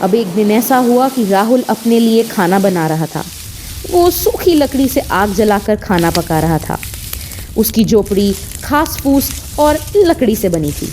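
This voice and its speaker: A woman's voice: mid-range voice, expressive, unhurried, darkish tone, a little hiss or hum. A woman narrating a scripted audio story, expressively addressing her listeners as a storyteller.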